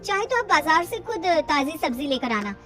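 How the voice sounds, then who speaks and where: A woman's voice: sits high, sing-song, normal speed, music in the background. A woman voicing a young daughter-in-law in a story, polite and dutiful but firm as she explains herself.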